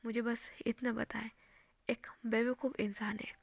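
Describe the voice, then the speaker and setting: A woman whispering the lines of a reserved, lovesick young man in a retold romance story, with a gravelly, close and dry sound. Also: on the high side, level tone, a little slow, dry recording. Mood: earnest. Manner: whispered, soft-spoken.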